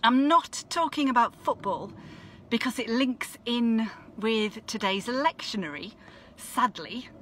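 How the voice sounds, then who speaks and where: A woman minister from Leeds, giving a measured, reflective devotional talk. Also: a little high, expressive, a bit bright, a little hiss or hum. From Leeds, England. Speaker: Methodist minister. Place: outdoors at a football stadium.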